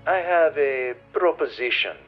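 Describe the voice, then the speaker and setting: An actor playing a menacing elderly baron who speaks slowly and formally through a video-call filter in an audio drama. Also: male voice, mid-range voice, lively intonation. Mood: smooth, insistent. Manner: slow, deliberate, formal speech. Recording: dull, band-limited video-call sound, music behind.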